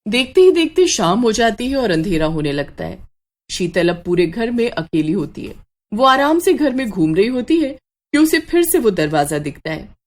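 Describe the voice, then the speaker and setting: Female narrator, middle pitch, scripted horror storytelling, dramatic, measured. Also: very animated.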